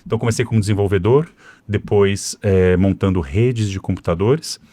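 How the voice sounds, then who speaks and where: A man's voice: on the low side, moderate pace, mellow, no echo. A middle-aged Brazilian man from São Paulo with a São Paulo accent, a podcast guest telling his story fluently and conversationally, tagging his sentences with little asides. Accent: Brazilian Portuguese, São Paulo. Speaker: cybersecurity executive and guest. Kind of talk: podcast interview.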